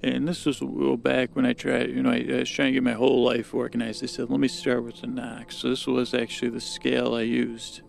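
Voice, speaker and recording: A man's voice: medium pitch, completely flat delivery, fairly quick, vocal fry, few pauses, slight background noise. A man hosting a podcast, improvising a meandering monologue that halts, repeats itself and trails off. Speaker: podcast host. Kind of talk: rambling improvised bedtime story monologue.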